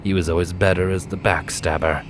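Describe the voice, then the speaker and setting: A man's voice: low-pitched, flat delivery, brisk, faint music behind, close to an everyday voice. Man doing a cocky, menacing pony assassin. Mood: smug. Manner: smug, taunting.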